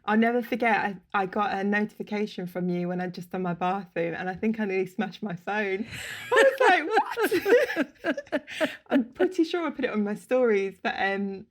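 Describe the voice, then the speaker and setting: A woman, telling her story as a podcast guest, open and quick to laugh, speaking over a video call. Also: mid-range voice, big pitch swings. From England. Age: 30s. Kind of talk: casual interview chat. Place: home room, indoors.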